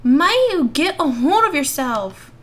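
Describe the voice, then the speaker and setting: A woman's voice: sits high, sing-song, slow, fairly bright tone, pitched above the performer's own voice, heavily acted. A woman voicing a worried, exasperated young female friend in a horror visual novel, calling out urgently. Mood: hurt, exasperated.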